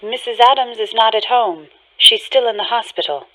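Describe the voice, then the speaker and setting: A woman's voice: a little high, normal speed, bright, forceful tone, rough recording. An actress playing a composed, formal woman answering a household telephone in a suspense radio play, polite and matter-of-fact.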